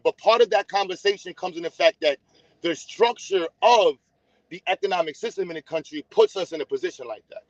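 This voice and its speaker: A man's voice: fairly high pitch, no room sound. A man hosting a casual livestream, blunt and teasing as he talks straight to his viewers. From United States. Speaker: livestream host. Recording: dull, lo-fi sound.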